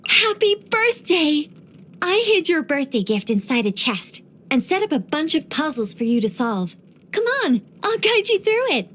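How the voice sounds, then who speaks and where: A woman voicing an ancient angel in a video game, dignified yet whimsical and chatty, with a measured, gently playful delivery. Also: high-pitched, very animated. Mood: sweet, delighted. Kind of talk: video game character voice lines.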